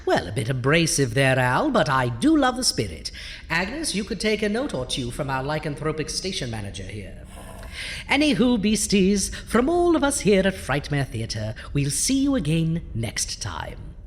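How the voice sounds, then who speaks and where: A woman's voice: low voice, wildly swooping pitch, moderate pace, slight background noise. A woman playing a zombie doctor who hosts a campy horror show, with a pompous, florid, theatrical manner. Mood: grandly theatrical. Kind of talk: campy horror host monologue. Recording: long hall reverb.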